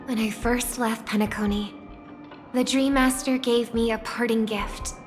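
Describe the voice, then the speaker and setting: Woman acting a poised, slow-spoken young singer. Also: a little high, steady tone, natural voice. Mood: reflective. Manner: measured, formal, polite. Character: poised, graceful young female singer, gentle but discerning. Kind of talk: video game voice acting. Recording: music behind the voice.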